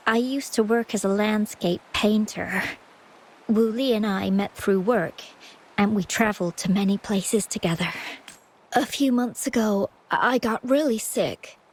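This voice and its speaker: An actress voicing a gentle, anxious, ailing young woman in a video game, speaking softly and politely with hesitant stammers. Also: mid-range voice, close to an everyday voice.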